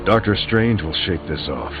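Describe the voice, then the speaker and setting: An actor playing a steady, reassuring male hero in a video game. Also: deep voice, normal speed, highs cut off, over music. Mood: patient, restrained.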